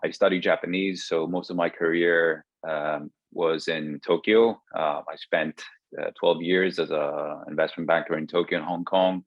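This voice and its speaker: A man's voice: sits low, fairly even intonation, normal speed, dry recording, highs cut off. A man in his thirties from Greece, a startup co-founder speaking as a guest on a remote interview, hesitant with many restarts and fillers. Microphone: video call.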